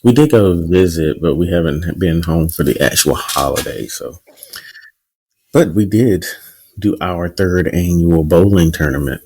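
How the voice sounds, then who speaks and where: A man with a very low, deep voice hosts a podcast in relaxed, casual conversation. He teases his co-host constantly and keeps the banter light and playful. His delivery is loose and chatty. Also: a little slow, a little hiss or hum. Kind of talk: casual chat.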